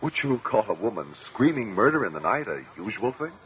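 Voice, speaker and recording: Sharp polite lawyer, low voice, lots of up and down, formal questioning, old radio show. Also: male voice, very animated. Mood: insistent. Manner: measured, formal, insistent questioning. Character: shrewd, courteous, probing male courtroom attorney. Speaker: actor in radio drama. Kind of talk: 1950s radio courtroom drama acting. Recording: dull, lo-fi vintage radio recording.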